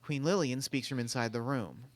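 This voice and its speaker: Plain narrator reading stage directions, middle pitch, matter of fact. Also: normal speed.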